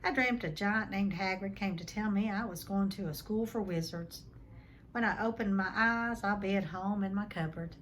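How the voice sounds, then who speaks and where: A woman's voice: mid-range voice, normal speed, a little hiss or hum, natural voice. A woman voicing an eager young boy in a storybook read-aloud. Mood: disbelieving.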